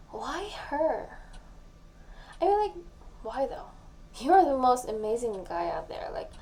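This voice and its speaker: A young woman playing a tipsy, needy, lovesick best friend in an intimate audio roleplay, rambling and halting as if leaving a voicemail. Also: a little high, warm tone. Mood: earnest, wistful. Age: young adult. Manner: drawn-out, rambling, sighing, halting. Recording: faint background noise.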